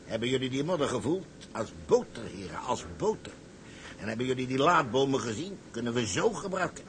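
An actor playing a cocksure, scheming salvage captain in a Dutch radio play, with a confident, theatrical, commanding delivery. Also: male voice, mid-range voice, very animated, a little fast, slight background noise, natural voice. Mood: boastful, confident.

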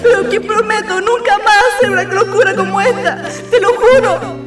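A woman's voice: very high voice, steady tone, normal speed. A young actress playing a distressed, impulsive village girl in a radio play, exclaiming and pleading. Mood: anguished. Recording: distant, music and crowd behind.

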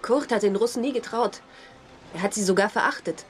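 A woman's voice: mid-range voice, fairly quick, faint music behind, natural voice. An actress playing a firm, protective emigrant mother in a radio drama. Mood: firm, insistent.

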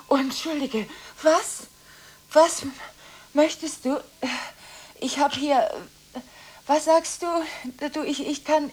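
An actress in a radio drama playing a frantic, easily unsettled woman on telephone calls, speaking in halting, repetitive fragments. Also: high-pitched. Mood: flustered, pleading. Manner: breathless, repeats words, broken halting phrases, swings between whispers and outbursts. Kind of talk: radio drama acting, one-sided telephone monologue. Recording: hissy studio radio drama recording.

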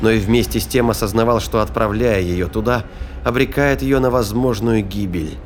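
A man giving a measured, grave scripted narration for a sci-fi thriller audio drama. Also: lowish voice, a little hiss or hum. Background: faint background music.

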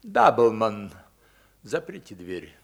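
A man's voice: lowish voice, big pitch swings, drawn-out words, vocal fry, exaggerated voice. An actor playing an imperious older senator and father in a radio drama, speaking in a commanding, stern way. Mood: curt, commanding. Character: imperious wealthy senator and father, accusing his own daughter. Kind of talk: Russian radio drama acting.

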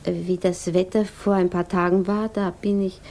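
A woman's voice: on the low side, flat delivery, moderate pace, natural voice. An actress as an anxious, yearning young mother. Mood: shy, wistful. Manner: inward monologues, often hushed. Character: Bertha, anxious, yearning young widowed mother and piano teacher. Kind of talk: 1960s German radio drama acting.

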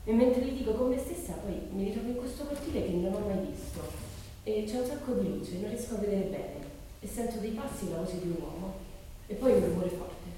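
A woman's voice: medium pitch, fairly quick, warm tone, natural voice. Echoey, distant young schoolgirl played by a woman. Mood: musing. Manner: colloquial, incredulous, self-questioning. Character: curious, outspoken teenage schoolgirl researching a past crime. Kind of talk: dramatic monologue reading. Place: large reverberant room, distant mic. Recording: echoey hall from afar, noisy.

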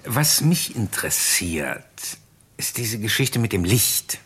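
An actor playing a calm, methodical police inspector in a German radio crime drama, courteous and probing. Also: male voice, fairly low pitch, slow, slightly rough, heavily acted.

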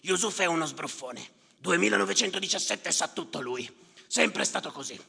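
A man acting a callous, muttering bureaucrat in a theatrical monologue, with a gravelly rasp and a sardonic, contemptuous, repetitive manner. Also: on the high side, expressive, normal speed, bright, forceful tone, heavily acted. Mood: scornful. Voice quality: gravelly, rasping. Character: callous, muttering male bureaucrat tallying drowned migrants. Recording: reverberant hall, live stage.

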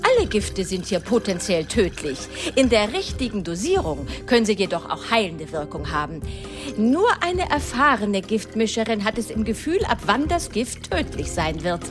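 A woman's voice: mid-range voice, sing-song, moderate pace, over music, close to an everyday voice. An actress playing a chatty poison-mixer witch in a radio play, effusive, theatrical and proud of her craft. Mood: expert, proud.

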